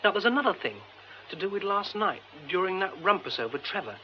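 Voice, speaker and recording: High, animated British man. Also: fairly quick, dull audio, slight background noise. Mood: searching memory. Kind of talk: radio drama acting.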